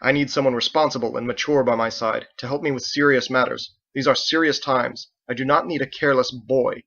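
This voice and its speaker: A man's voice: mid-range voice, steady tone, a little fast, vocal fry, bright, forceful tone. Stern young knight of the church, played by a male reader, irritable, emphatic storybook scolding, dull lo-fi sound. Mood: stern, angry.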